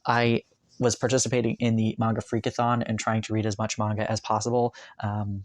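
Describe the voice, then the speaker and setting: A man's voice: lowish voice, monotone, brisk. A man talking casually and enthusiastically about his manga purchases, rambling with many ums and uhs. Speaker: manga collector vlogger. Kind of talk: casual haul video talk. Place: bedroom, indoors.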